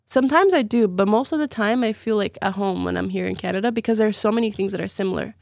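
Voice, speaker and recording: A woman's voice: mid-range voice, fast talker, vocal fry, a little mellow, no echo, studio quality. A young woman from Brazil with a Brazilian Portuguese accent, chatting as a podcast guest, with lots of fillers and laughter. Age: young adult. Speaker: guest, former student. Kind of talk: casual podcast chat.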